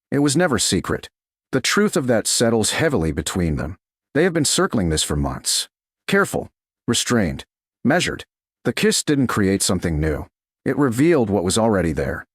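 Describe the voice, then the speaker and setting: A man narrating a scripted romance story in a measured, dramatic way, in short clipped phrases. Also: on the low side, slightly rough, dry recording, clean studio sound. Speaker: audiobook narrator.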